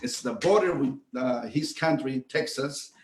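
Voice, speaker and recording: A man's voice: medium pitch, measured pace. A man from Mexico, a mariachi guitarist, chatting and explaining the music in a friendly way with many fillers. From Reynosa, Mexico. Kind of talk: casual stage talk. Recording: roomy echo.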